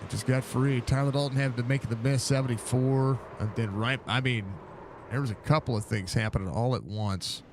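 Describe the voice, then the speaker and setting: A man with a stuffy, congested voice calling a live sim race, excited and rambling with lots of fillers. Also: mid-range voice, a little fast, background noise. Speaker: sim racing broadcaster.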